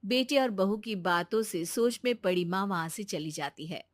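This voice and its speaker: A woman narrating a cartoon moral story in a calm, even storytelling manner. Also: medium pitch, normal speed, no echo.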